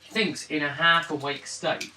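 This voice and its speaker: A man acting as game master, improvising the narration in a halting, casual way, with swearing and throat clearing. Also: fairly high pitch, steady tone, bright, forceful tone. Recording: roomy, distant mic, faint noise.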